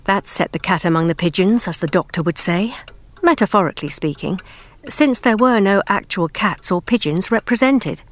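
A woman playing a poised young narrator, measured, precise and wry in an audiobook story. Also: mid-range voice, lively intonation, close to an everyday voice.

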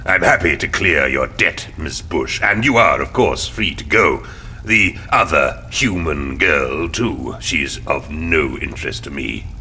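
Smug crime boss, super deep slow voice, swings about a lot, audio drama. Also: male voice, animated, sharp tone, slight background noise, heavily acted. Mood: smug, cold. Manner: unhurried, condescending, mock-polite.

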